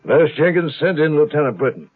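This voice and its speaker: An actor playing a stern, exasperated military space doctor, scolding and sarcastic in a 1950s radio drama. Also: male voice, a little high, expressive, a little fast, soft background music, close to an everyday voice. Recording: tinny band-limited 1950s radio recording.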